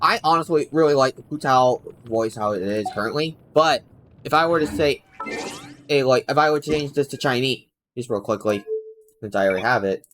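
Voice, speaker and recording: A man chatting casually to viewers on a game livestream, rambling and halting as he doubles back on his sentences. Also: medium pitch, fairly bright tone, slight background noise, poor audio. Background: game music.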